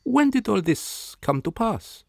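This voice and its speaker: A man's voice: sits high, very animated, a little slow, vocal fry, darkish tone, no echo, clean studio sound, heavily acted. A man playing a curious, gossipy servant in a radio drama, theatrical and inquisitive.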